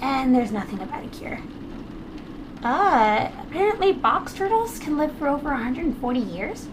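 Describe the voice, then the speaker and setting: A woman's voice: high-pitched, big pitch swings, moderate pace, noisy background. A woman playing a curious, chatty teenage girl in an audio drama, earnest and easily fascinated. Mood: eager, curious. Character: bright, curious, eager teenage girl researcher.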